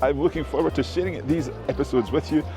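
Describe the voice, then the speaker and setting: A man's voice: on the high side, fast. A man presenting a video series in an upbeat introductory style. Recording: prominent music under the voice.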